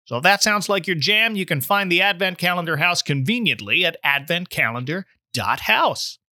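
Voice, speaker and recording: A man's voice: high-pitched, expressive, normal speed, pushed and bright, no room sound, clean studio sound. A man, a podcaster, reading in a scripted storytelling style. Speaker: podcaster, guest reader. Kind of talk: podcast promo and audiobook-style narration.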